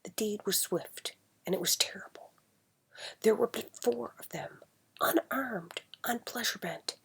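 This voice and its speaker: A woman with a husky, breathy voice reading an old novel aloud in a deliberate, dramatic storytelling manner. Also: medium pitch, in a whisper. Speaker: amateur reader.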